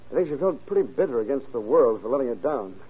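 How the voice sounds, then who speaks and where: An actor in an old-time radio drama playing a troubled, reluctant young son, earnest and halting. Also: male voice, mid-range voice, very animated, breathy edge. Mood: troubled, hesitant. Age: young adult. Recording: muffled, phone-like old radio recording.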